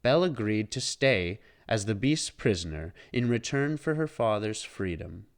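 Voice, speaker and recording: A man reading a children's storybook aloud as scripted, expressive narration. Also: on the low side, normal speed.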